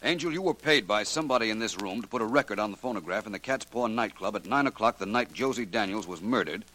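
A low male voice with lively swings of pitch, a confident American sleuth in a 1940s radio mystery. He speaks formally and deliberately, with an air of authority. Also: poor audio. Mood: accusing, commanding. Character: shrewd, confident male private detective.

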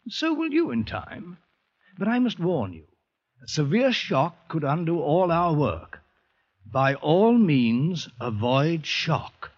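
An actor playing a reassuring but cautioning doctor in a 1940s radio drama, earnest and advising. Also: male voice, fairly high pitch, sing-song, takes it slowly. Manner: earnest, advising, hesitant openings. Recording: dull old radio recording.

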